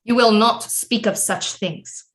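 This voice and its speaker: A woman's voice: fairly high pitch, fairly even intonation, slow pace. Woman playing a proud, guarded queen mother, formal. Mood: cold, indignant. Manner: formal, imperious, terse retorts. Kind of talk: theatrical audio play acting.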